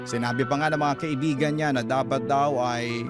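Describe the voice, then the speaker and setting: A man's voice: mid-range voice, fairly even intonation, moderate pace. A man with a Filipino accent narrating a listener's letter as a scripted radio drama. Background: music.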